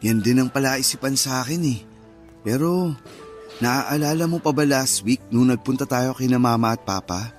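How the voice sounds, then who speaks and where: Radio drama husband: man playing a steady, patient young father with medium pitch, faint music behind. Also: moderate pace, natural voice. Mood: calm, reassuring. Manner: patient, explanatory. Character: calm, reassuring young husband and new father.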